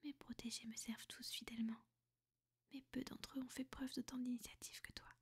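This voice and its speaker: Woman acting as an ancient female vampire, high breathy voice, soft and formal, affectionate, protective, half-whispered ASMR roleplay. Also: level tone, exaggerated voice. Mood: grateful, affectionate. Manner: soft-spoken, formal, measured, drifting into whispers. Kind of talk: French ASMR girlfriend roleplay.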